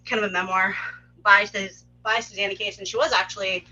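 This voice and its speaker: Bright-voiced woman in casual group chat. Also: mid-range voice, steady tone, brisk, dull audio. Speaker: participant helping run an online script table read.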